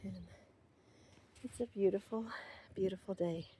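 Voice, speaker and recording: A woman's voice: mid-range voice, steady tone, a little slow, warm tone. Woman vlogger, rambling chatty storyteller, a bit winded, talking to a phone outdoors, faint hiss behind. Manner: rambling, chatty, with many fillers and quoted asides. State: short of breath from cold and altitude. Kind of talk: casual outdoor vlog storytelling. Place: outdoors on a snowy rural property.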